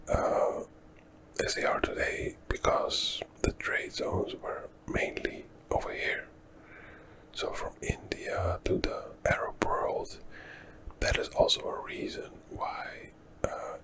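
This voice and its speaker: A Dutch man, a native Dutch speaker, whispering softly through a relaxed ASMR history walkthrough and thinking aloud as he goes. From Netherlands. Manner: soft unvoiced whisper, unhurried, thinking aloud with fillers. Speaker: ASMR history channel host.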